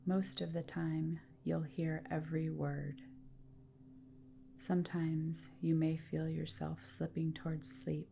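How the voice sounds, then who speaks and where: Slow, low-voiced woman guiding deep relaxation. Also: creaky. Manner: calm, soothing, deliberate phrasing with long pauses. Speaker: yoga nidra guide. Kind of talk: guided relaxation meditation, scripted narration.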